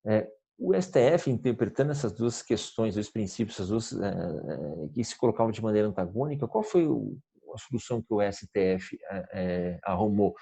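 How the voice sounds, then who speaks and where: A man gives a recorded law lecture. His voice sits a little low, mellow and slightly husky. He explains carefully, repeats words as he restarts, and often checks that listeners are following. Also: lively intonation, moderate pace. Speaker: law professor.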